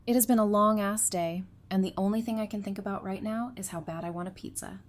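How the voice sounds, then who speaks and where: A woman's voice: mid-range voice, steady tone, fast talker, close to an everyday voice. Wry, easygoing woman over noisy ambience, acted. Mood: weary, wry. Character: wry, friendly, easygoing adult female apocalypse survivor. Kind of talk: audio drama acting, casual conversation. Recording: noticeable background ambience and noise.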